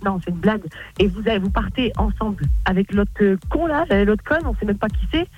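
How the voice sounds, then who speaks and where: Indignant fast-talking woman. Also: medium pitch, expressive, lo-fi sound. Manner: indignant, fast, repetitive protests. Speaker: prank call target.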